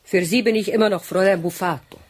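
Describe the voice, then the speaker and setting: An actress playing a cornered, defensive foreign woman in a radio crime drama, with a husky voice. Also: medium pitch, fast, a little hiss or hum, natural voice. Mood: haughty, cold.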